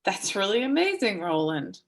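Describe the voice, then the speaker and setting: Actress as brittle, acerbic woman for stage dialogue; mid-range voice, blunt and sweary with halting phrasing. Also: mid-range voice, very animated. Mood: awkward, friendly. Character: sharp, foul-mouthed woman, bitterly witty, brittle and vulnerable. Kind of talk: dramatic reading of a stage play dialogue.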